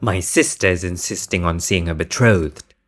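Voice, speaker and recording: A man's voice: low-pitched, moderate pace, heavy background noise, close to an everyday voice. A man acting a haughty, protective young nobleman in an audio drama, making imperious, impatient demands. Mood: stern.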